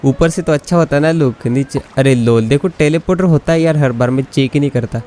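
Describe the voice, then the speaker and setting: A man's voice: fairly high pitch, rapid-fire, warm tone. A young Indian man, a native Hindi speaker, doing casual gameplay commentary and slipping between Hindi and English. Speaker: gaming video host. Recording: faint game music behind.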